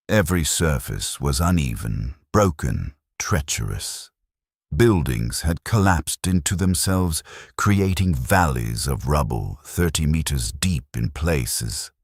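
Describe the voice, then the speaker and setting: A man reading scripted first-person war fiction in a grave, measured manner with short clipped sentences. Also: a bass voice, a little mellow, no echo, clean studio sound. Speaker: narrator of science fiction war stories.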